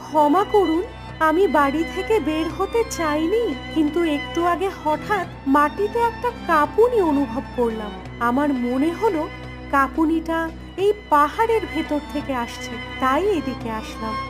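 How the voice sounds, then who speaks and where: A woman's voice: high voice, lively intonation, unhurried, over music. A woman voicing a curious, defiant young captive girl in a cartoon, with an earnest, protesting manner. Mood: pleading, protesting.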